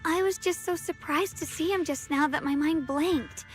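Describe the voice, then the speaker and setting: A young female adventurer wonders aloud in a very high voice, voiced by a woman. She is thoughtful and inquisitive, a game heroine puzzling out a mystery. Faint music sits behind her. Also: moderate pace, lightly acted. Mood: apologetic. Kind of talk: video game voice acting.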